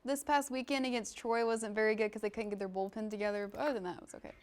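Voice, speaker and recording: A woman's voice: mid-range voice, steady tone, very fast. A woman co-hosting a podcast, talking sports casually and informally with lots of "like". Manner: informal, often says "like". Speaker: sports podcast co-host, commentator.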